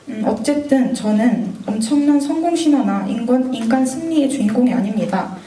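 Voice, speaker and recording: A woman's voice: on the high side, mellow, roomy sound, recorded from a distance, poor audio. A woman in her twenties from North Gyeongsang with a sore throat, giving an earnest, lightly scripted mentoring talk to students. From North Gyeongsang, Korea. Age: 20s.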